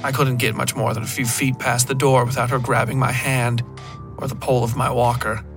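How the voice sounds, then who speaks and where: A man reading a scripted horror story as a podcast narrator, in a measured, literary delivery with deliberate pauses. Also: mid-range voice, flat delivery, soft background music.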